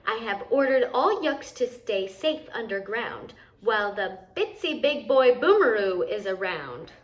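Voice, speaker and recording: A woman's voice: a little high, very animated, measured pace. A woman voicing an upbeat, commanding male chief in a rhyming storybook, reassuring and boastful as she gives instructions. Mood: proud, commanding. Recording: noticeable background noise.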